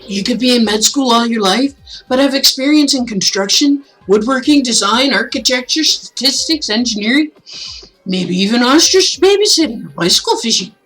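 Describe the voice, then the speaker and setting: Lady doing an older guy mayor in a visual novel, folksy speechmaker, jokey n encouraging, bit husky. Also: a little high, animated, moderate pace, fairly bright tone, faint music behind, pitched above the performer's own voice, rough recording. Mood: earnest, encouraging. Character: affable older male mayor giving a folksy, rousing speech.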